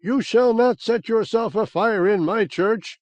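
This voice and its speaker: A man's voice: high voice, unhurried, no echo, pitched above the performer's own voice. A man voicing a stern male priest in an audiobook, speaking solemnly. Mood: indignant.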